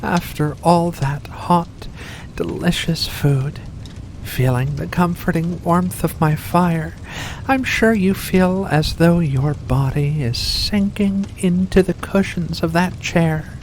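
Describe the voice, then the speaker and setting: A woman's voice: lowish voice, slow, darkish tone, a little hiss or hum, rough recording. A woman voicing an ancient, seductive furry vampire in an ASMR roleplay, coaxing and genteel with veiled menace. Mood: coaxing, curious. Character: ancient predatory vampire with furry paws, seductive, faux-kindly. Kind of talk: ASMR roleplay addressed to the listener.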